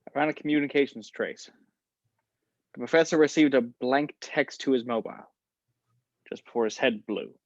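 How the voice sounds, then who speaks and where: A man's voice: medium pitch, normal speed, long pauses, dry recording, muffled recording, natural voice. A man playing a plain-spoken spy in an amateur table read, in an everyday voice. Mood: plain, wry.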